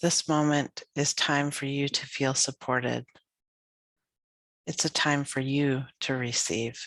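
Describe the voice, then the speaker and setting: A woman leading a guided yoga nidra meditation as a teacher, speaking gently and soothingly with unhurried phrases and long pauses. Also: a bass voice, fairly even intonation, no echo.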